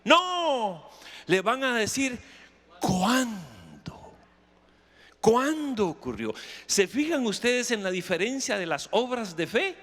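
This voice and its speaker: A man's voice: sits high, big pitch swings, takes it slowly, a bit bright. A middle-aged or older man with a Costa Rican accent preaching emphatically, putting questions to his congregation and repeating phrases. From Costa Rica. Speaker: pastor preaching. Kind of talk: sermon with anecdotes and questions to the congregation. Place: camp meeting hall with congregation. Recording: reverberant hall, long echo.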